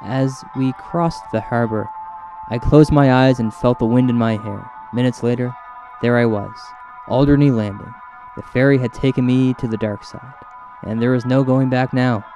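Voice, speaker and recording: A man hosting and narrating a spooky comedy as a paranormal investigator, mock-serious and deliberate in his storytelling. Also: mid-range voice, steady tone, warm tone. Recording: music bed under narration. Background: music.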